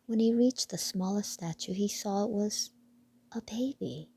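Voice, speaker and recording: A woman reading a children's picture book aloud with deliberate storytelling phrasing. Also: medium pitch, vocal fry, dark tone, husky.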